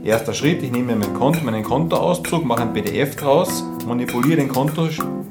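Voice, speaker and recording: A man of average pitch coaches the listener step by step. His motivational advice is directive and emphatic, with guitar music clearly behind him. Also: normal speed.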